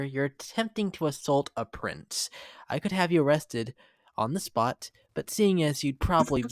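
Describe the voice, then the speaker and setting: A man's voice: medium pitch, no room sound, clean studio sound, natural voice. A man voicing a haughty, bored pony prince in a dramatic comic reading, pompous and scornful. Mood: indignant, smug.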